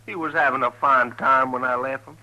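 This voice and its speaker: An actor playing a grieving, stubborn frontier son with folksy rural speech in a 1950s radio western. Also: male voice, medium pitch, steady tone, a little fast, sharp tone, rough recording. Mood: stunned, insistent.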